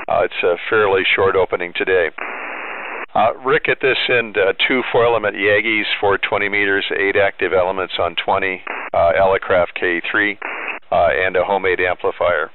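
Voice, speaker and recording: A man from the United States working as an amateur radio operator, chatting casually and matter-of-factly with call signs and pauses. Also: sits low, steady tone. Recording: narrow-band, clear background radio noise.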